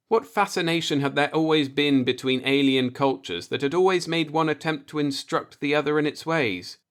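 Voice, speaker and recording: A man's voice: mid-range voice, a bit bright, smooth. A man reading a science fiction story aloud as an audiobook narrator.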